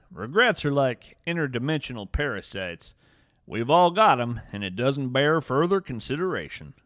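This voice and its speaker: Animated, dramatic naturalist storyteller played by a man. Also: medium pitch, no room sound, clean studio sound. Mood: wry, reflective. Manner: dramatic, wryly whimsical, literary phrasing. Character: earnest folksy cryptid naturalist, adult man, wryly awed. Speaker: podcast host and narrator. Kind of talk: scripted first-person fiction narration.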